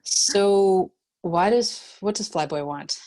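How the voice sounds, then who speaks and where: A woman playing a guarded but caring leader in a screenplay table read, measured and matter-of-fact. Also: mid-range voice, dark tone, natural voice, rough recording. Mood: wry.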